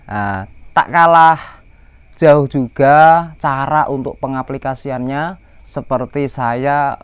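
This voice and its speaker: A man's voice: on the high side, smooth, no room sound. Indonesian man explaining steps, dry close sound. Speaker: livestock farmer and channel host. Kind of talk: informal step-by-step tutorial narration.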